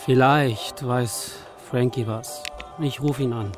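A man's voice: mid-range voice, steady tone, slow, lightly acted. An actor playing a brash young male activist in a radio crime play, slangy and confrontational. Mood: dismissive. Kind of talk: German radio play dialogue. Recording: music behind the voice.

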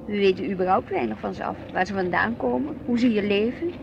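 A woman's voice: medium pitch, fast talker, gravelly, lo-fi sound, natural voice. An actress playing an inquisitive, earnest wife and mother in a naturalistic radio play, with a Netherlands Dutch accent. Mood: reflective. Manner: questioning, earnest. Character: inquisitive, reflective wife and mother, easily piqued at her husband. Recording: noisy outdoor street ambience with traffic.

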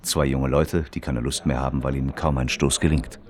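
Extremely deep male radio play narrator. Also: fairly even intonation, brisk. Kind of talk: scripted narration, documentary-like report. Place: dry studio.

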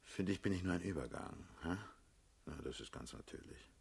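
Very deep, husky, commanding party boss. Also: male voice, lively intonation, a little fast, darkish tone, exaggerated voice. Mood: wearily brooding. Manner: blunt, paternal, brusque. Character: world-weary, commanding male party leader, blunt and sardonic. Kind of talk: German radio drama acting.

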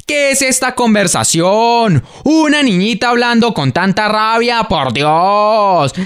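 Really high clean-voiced prude, old-fashioned, scandalized and preachy, radio play. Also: male voice, lively intonation, slow, a bit bright, smooth, full character voice. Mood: scandalized, scolding. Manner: indignant, scolding, exclamatory. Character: prudish, scandalized old-fashioned moralist man.

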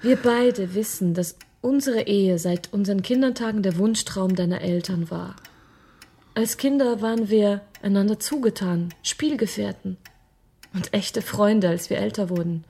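An actress playing a tender, anxious young bride-to-be in a radio drama, hesitant and earnest. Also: female voice, mid-range voice, measured pace, vocal fry, slightly rough. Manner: hesitant, earnest, soft-spoken.

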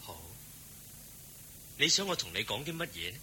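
An actor playing a serene, kindly holy teacher in a Hong Kong Cantonese radio drama, speaking in a composed, gently instructive way. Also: male voice, mid-range voice, very animated, normal speed, slight background noise. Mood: calm.

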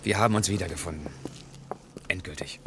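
A grey-haired former lover in a 1980s radio play. His low male voice is wistful and intimately pleading, over faint background noise. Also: fairly quick. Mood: tender, insistent. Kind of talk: 1980s German radio drama dialogue.

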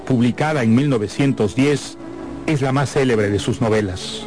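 Male presenter, mid-pitched, scholarly and formal, scripted literary introduction over music. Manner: measured, erudite, formal. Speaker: presenter introducing a classic novel. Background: background music.

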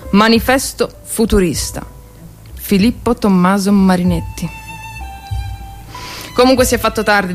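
A woman's voice: medium pitch, natural voice. A woman performing a theatrical monologue as an ironic, cultured society lady and proud wartime volunteer nurse, with rhetorical, emphatic delivery. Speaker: solo stage actress.

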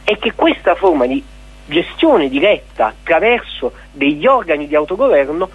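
A man's voice: fairly high pitch, big pitch swings, moderate pace, slightly rough. A man explaining things articulately and argumentatively in a radio interview. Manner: articulate, argumentative, rhetorical questions. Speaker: interviewee, activist of an occupied cultural centre. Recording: dull lo-fi radio sound.

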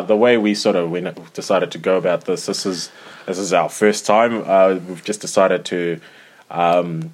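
A young man in his twenties from Zimbabwe co-hosts a relaxed podcast. His voice sits fairly low, and he hesitates often, filling gaps with "uh" and "um" and restarting his sentences. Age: 20s. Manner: hesitant, many fillers and restarts. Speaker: podcast co-host. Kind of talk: casual podcast chat.

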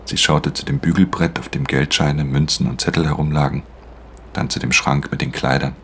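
A man's voice: rumbling low, steady tone, fairly quick, slight background noise. An actor playing an aloof, weary male pickpocket in a radio play, terse and understated, often dropping into a near-whisper. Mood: curt, detached. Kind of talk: German radio play acting with intimate first-person narration.

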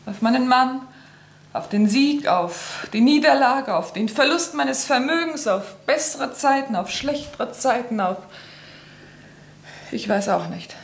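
An actress playing a restless young wife worn out by years of waiting, tense and despairing, in a radio play. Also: female voice, sits high, expressive, unhurried, vocal fry, reverberant, heavily acted.

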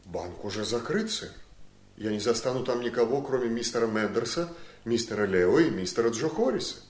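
Radio play role: simple, argumentative manservant, lively swooping delivery, respectful and baffled, echoing room male performer. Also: mid-range voice, fairly quick, slight background noise, natural voice. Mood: deferential. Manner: deferential yet puzzled and argumentative. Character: Cal, simple, stubborn, literal-minded male servant. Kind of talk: Soviet radio drama acting.

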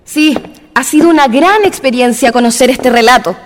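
Actress as a polite young woman, high-pitched. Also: normal speed, noisy background, lightly acted. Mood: polite, interested. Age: young adult. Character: polite, inquisitive young woman visiting historic site. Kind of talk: radio drama acting. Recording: long reverb, music behind.

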